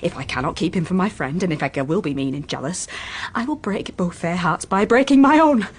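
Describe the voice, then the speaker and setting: An actress playing a proud, volatile young wife in a gothic period radio drama, imperious, taunting and impassioned. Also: female voice, lowish voice, expressive, a little fast, a little hiss or hum, close to an everyday voice. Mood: agitated, scheming.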